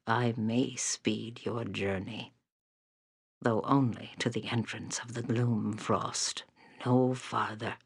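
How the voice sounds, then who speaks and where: Elderly female seer, fantasy game, husky aged voice, solemn, portentous, weary, very dry close sound. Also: very deep voice, no echo, full character voice. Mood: weary, mysterious. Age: older. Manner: slow, grave, portentous. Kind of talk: dramatic fantasy game voice acting.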